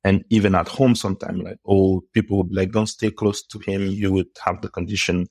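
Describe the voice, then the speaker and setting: Deep-voiced man, reflective. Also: flat delivery, a little mellow, no echo, studio quality. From Cameroon. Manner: reflective, unhurried storytelling with many "you know"s. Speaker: podcast guest, neurotechnologist. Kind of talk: casual interview conversation.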